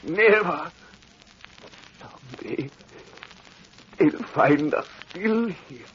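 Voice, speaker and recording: A man playing a calm, defiant old man who is dying, in a 1940s radio drama, dull lo-fi broadcast sound. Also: a little high, slight background noise, exaggerated voice. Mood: grimly calm. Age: older. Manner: slow, quiet, grimly resolute.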